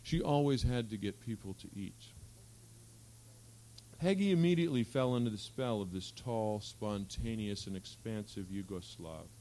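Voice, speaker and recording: A man reading literary fiction aloud at a live event, with a measured, articulate storytelling delivery. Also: fairly low pitch, mellow, rough recording. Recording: faint hum and background noise.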